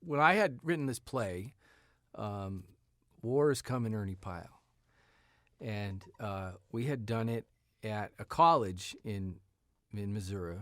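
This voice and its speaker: A man in his fifties telling stories casually as an interview guest, in a halting, meandering way. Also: fairly low pitch, animated, no room sound, studio quality. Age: 50s. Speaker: writer, actor, interview guest. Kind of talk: casual conversational storytelling. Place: radio studio.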